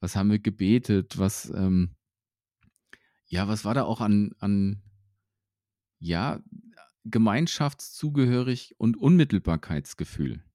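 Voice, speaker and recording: Man, reflective, hesitant, mellow tone, dry sound. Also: lowish voice, unhurried, long pauses, dry recording. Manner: hesitant, reflective, many fillers and restarts. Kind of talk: casual faith conversation.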